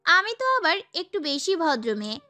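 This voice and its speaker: A young woman performs a sassy, girlish heroine, telling a romance audio story. Her voice is very high and bright, with lively swings in pitch and an expressive, feisty delivery. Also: normal speed, soft background music, full character voice. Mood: dramatic, musing. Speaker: narrator and lead actress. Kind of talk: dramatised first-person romance story narration.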